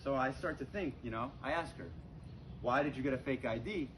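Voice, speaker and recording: A young man telling stand-up comedy stories to an audience in a conversational, halting way, with pauses. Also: mid-range voice. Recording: very noisy live comedy recording with clicks. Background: audience laughter.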